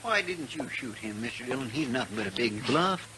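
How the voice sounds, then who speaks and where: An actor playing a loyal, simple deputy with a rural American accent, folksy, deferential and fretful, in a 1950s western radio drama. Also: male voice, mid-range voice, expressive, fairly quick, close to an everyday voice. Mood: puzzled, obliging. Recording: hissy 1950s radio recording.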